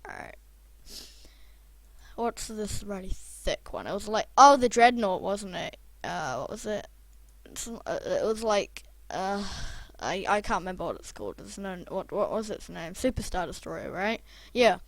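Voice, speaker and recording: A young YouTuber with a croaky voice, rambling casually and chatting through a building video. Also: medium pitch, expressive, a little slow, no room sound. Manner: rambling, chatty, self-deprecating. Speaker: Minecraft YouTuber. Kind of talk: casual gameplay commentary.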